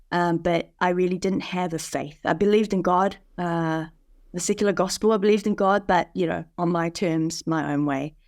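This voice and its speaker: Woman from new zealand, lowish voice, dry close sound, animated storyteller, video call guest. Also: no room sound. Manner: animated storytelling with many fillers and restarts. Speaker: podcast guest, parish leader. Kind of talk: casual conversation over video call.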